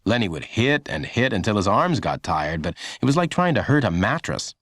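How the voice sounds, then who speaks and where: A man reading a children's audiobook as scripted narration, in a measured, dramatic storytelling manner. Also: fairly low pitch, sing-song, fairly bright tone, dry recording, clean studio sound.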